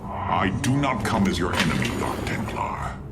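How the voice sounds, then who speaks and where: An actor playing an arrogant, menacing alien warlord in a video game, imperious, deliberate and theatrical. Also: male voice, sits low, big pitch swings, heavy background noise. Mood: urgent, cold. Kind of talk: video game cutscene acting. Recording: loud music behind. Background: loud music.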